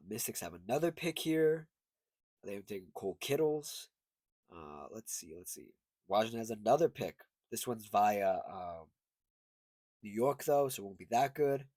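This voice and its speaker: A man's voice: mid-range voice, darkish tone, dry recording. A man with a congested voice giving casual, unscripted sports commentary as a video host, thinking aloud as he goes.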